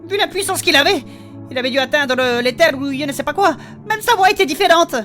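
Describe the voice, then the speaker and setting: An actor voicing a young fantasy adventurer with a high voice, excitable and easily startled. The delivery is all exclamations and questions, with music clearly underneath. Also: fast. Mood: flustered. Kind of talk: comic French fantasy audio fiction.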